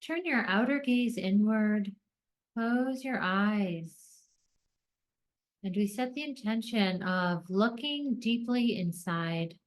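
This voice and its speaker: A woman leading a guided meditation, speaking gently in deliberate short phrases with long pauses between them. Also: mid-range voice, expressive.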